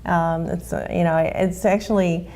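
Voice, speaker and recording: A woman's voice: lowish voice, steady tone, unhurried, dark tone. An American woman who grew up speaking Spanish, hosting a conversational interview in an agreeable, affirming manner. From United States. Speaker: interview host.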